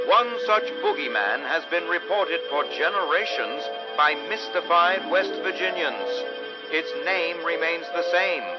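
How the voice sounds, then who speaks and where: An actor playing the mysterious, suspenseful narrator of a vintage radio serial of strange tales, speaking theatrically. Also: male voice, a little high. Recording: dull old-radio sound, loud music behind.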